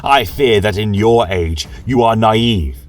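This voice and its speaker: Casting: male narrator as a stern elven warrior, low-voiced and slow, full of bitterness and grief, for a fantasy audio drama. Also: distant mic, faint music behind. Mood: stern, bitter. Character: bitter, mournful male elf champion, harsh accuser.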